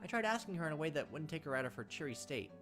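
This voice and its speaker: Game host, mid-pitched voice, narrates story text with casual asides over faint music. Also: big pitch swings, very fast. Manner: reads aloud and drops in offhand asides. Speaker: let's play host reading a visual novel aloud.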